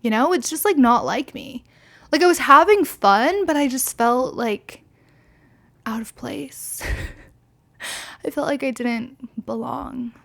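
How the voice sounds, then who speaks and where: A woman's voice: sits high, slow, vocal fry, long pauses, fairly bright tone. A woman in her twenties, slightly tipsy, rambling candidly through a casual solo podcast with lots of fillers and swearing. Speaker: podcast host.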